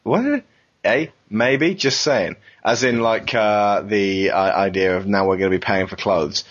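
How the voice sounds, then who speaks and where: Low, husky male podcast co-host. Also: unhurried. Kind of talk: casual panel talk, reading out listener messages. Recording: dull lo-fi podcast audio.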